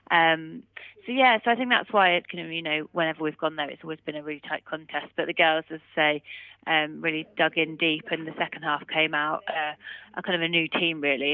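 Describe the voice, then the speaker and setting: A woman's voice: low voice, no echo. A woman chatting casually as a rugby player being interviewed over the phone, giving loose, run-on answers. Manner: hedging, loose run-on answers with "kind of" and "you know". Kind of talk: casual post-match interview chat. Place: phone call. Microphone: telephone. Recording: muffled narrowband phone line.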